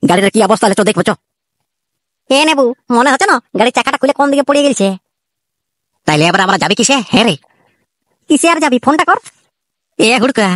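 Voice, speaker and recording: A voice artist doing fast-talking cartoon soldiers. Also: mid-range voice, fairly bright tone, no room sound, lightly acted. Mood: panicky. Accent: Purulia Bengali. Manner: rapid, chatty, panicky banter. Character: two jittery young male soldiers, comic cowards. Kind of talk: comic cartoon voice acting.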